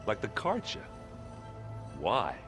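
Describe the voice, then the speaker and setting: Blunt warrior guy from a video game, deep deadpan voice, music playing behind. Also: takes it slowly, full character voice. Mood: puzzled. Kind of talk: video game cutscene acting.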